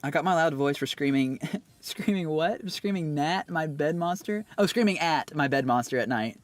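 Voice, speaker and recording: A man chatting casually with his livestream viewers, rambling and animated, with lots of fillers and quick laughs. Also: on the high side, no room sound, faint music behind. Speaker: streamer and singer.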